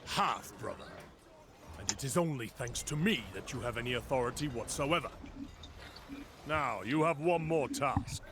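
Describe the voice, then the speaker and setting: A man's voice: mid-range voice, lively intonation, normal speed, a bit bright. An actor playing an imperious, boastful warrior king of ancient Persia, commanding and scornful in a declamatory game style. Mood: haughty, curt. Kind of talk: dramatic game voice acting. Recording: battle noise behind the voice.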